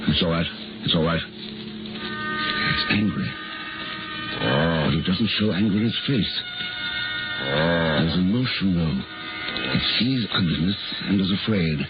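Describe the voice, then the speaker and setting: A very low-voiced American scientist, secretive and obsessed, speaks in intense, halting bursts. It is a 1950s radio thriller, thin and tinny, with loud music behind him. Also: male voice, wildly swooping pitch, exaggerated voice. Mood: awed, tense. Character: secretive, obsessed male scientist, awed by his creation.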